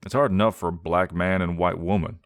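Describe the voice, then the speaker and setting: A man's voice: sits low, fairly even intonation, brisk, clear, resonant tone, dry recording. Man as a dry, stubborn young man. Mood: obstinate. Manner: dry, clipped.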